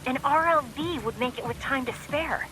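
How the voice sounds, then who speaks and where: Woman acting confident tech expert gal, high voice, game radio call, noisy behind. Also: normal speed, lightly acted. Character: confident female technical expert teammate. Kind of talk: dramatic video game acting.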